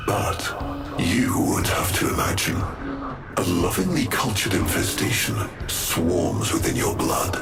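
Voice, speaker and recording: An actor playing a cruel, aristocratic male villain in a video game, measured and theatrical, mocking and menacing. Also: fairly low pitch, creaky, heavily acted. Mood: grieving menace. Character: silky, cruel aristocratic male villain, coldly grieving creator. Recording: loud music under the voice.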